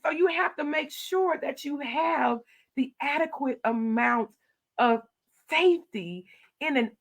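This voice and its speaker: A middle-aged woman, a county commissioner telling stories in an animated, loud voice. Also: sits high, vocal fry. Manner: animated storytelling, acting out her own quoted replies. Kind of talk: casual interview talk.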